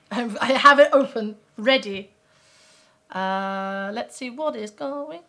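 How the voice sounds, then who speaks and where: A woman's voice: on the high side, slow, fairly bright tone. A young woman chatting casually as she plays a card game on a live stream at home, reading cards aloud and thanking viewers. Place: home room.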